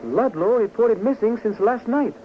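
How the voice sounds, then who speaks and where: High-voiced loyal valet, broken English. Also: male voice, lively intonation, moderate pace. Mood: inquisitive. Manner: broken English, dropped articles and verbs. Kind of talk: 1940s radio drama acting. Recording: noisy, muffled 1940s radio recording.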